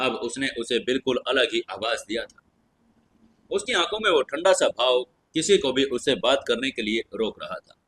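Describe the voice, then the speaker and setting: A man's voice: medium pitch, sing-song, normal speed, fairly bright tone, slightly rough. A man narrating a fantasy audio story from a script.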